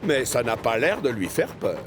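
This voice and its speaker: A man narrating a children's cartoon in French as an expressive, scripted storyteller. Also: mid-range voice, normal speed, music in the background.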